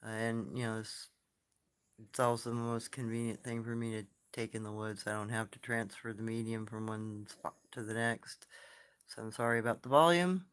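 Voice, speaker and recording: Soft-spoken man with a smooth voice. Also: on the low side, moderate pace. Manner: soft-spoken, relaxed and rambling. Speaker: YouTube storyteller answering live comments. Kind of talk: casual live-stream talk. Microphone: phone.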